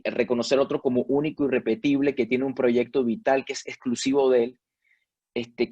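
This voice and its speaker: A man's voice: medium pitch, a little mellow, no room sound. Venezuelan man musing, many fillers. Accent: Venezuelan Spanish. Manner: thoughtful, hesitant, many fillers and restarts. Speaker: panel guest. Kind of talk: reflective panel discussion.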